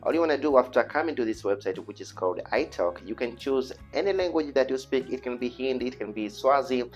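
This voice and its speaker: A man's voice: medium pitch, expressive, quick pace. A man with a Tanzanian accent, a native Swahili speaker, presenting an informal tutorial in an earnest, repetitive, persuasive way. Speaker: online video host. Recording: dull lo-fi voice recording with music. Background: background music.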